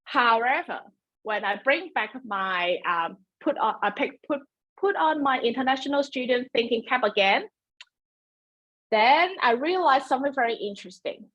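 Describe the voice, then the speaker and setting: A woman's voice: a little high, expressive, moderate pace, a bit bright, dull audio. A woman whose first language is Cantonese, hosting a webinar in a chatty, self-deprecating way with many fillers and restarts, talking into a computer mic. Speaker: webinar host. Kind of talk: presenting a webinar.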